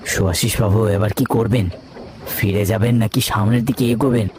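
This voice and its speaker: Man as low-voiced, grave patrol companion. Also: fairly even intonation, fairly quick, darkish tone, a little hiss or hum, close to an everyday voice. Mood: grave unease.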